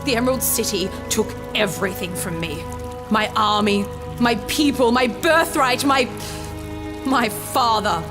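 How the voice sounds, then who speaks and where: High-voiced vengeful villainess played by an actress. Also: female voice, measured pace, music in the background, natural voice. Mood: bitter, menacing. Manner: theatrical, taunting, sardonic. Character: bitter, vengeful, gloating female rebel army leader, unhinged villain. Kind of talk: full-cast fantasy audio drama acting.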